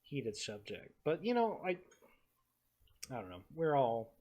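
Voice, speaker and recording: A young man from the Texas Panhandle, co-hosting a podcast in casual chat, halting and repeating his words with many fillers. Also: medium pitch, wildly swooping pitch, a little mellow, muffled recording. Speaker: podcast co-host.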